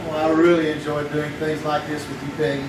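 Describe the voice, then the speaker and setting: A man's voice: on the high side, completely flat delivery, a little fast, close to an everyday voice. A man playing a casual, joking teenage boyfriend in a live old-time radio drama. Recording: roomy, distant live stage recording with noise.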